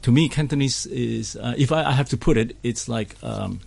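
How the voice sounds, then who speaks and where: Gravelly, husky-voiced man, halting speech. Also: medium pitch, darkish tone, dry recording. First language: Hokkien. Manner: hesitant, many fillers, chuckles often. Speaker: choir director and singer, studio guest. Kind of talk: casual radio interview. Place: radio studio.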